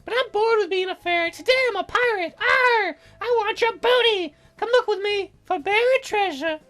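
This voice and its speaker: A woman's voice: very high-pitched, normal speed, fairly bright tone, soft background music, pitched above the performer's own voice. A woman voicing a playful, teasing young fairy girl in a visual novel, with a girlish, sing-song delivery. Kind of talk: visual novel character read in a let's play.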